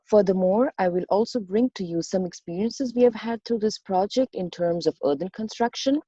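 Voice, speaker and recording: A woman's voice: mid-range voice, moderate pace, warm tone, slightly rough, no room sound. A woman in her thirties from Bangladesh, working as a university lecturer, introducing her course fluently. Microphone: earphone headset. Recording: dull, dry headset sound.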